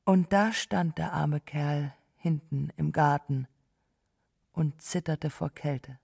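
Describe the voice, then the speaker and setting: Grieving wife, radio drama, wistful, subdued, creaky voice, unhurried delivery. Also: female voice, deep voice, darkish tone, heavily acted. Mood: pained remembering. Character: grieving wife, gentle, wistfully recalling a lost young love. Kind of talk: literary radio drama monologue.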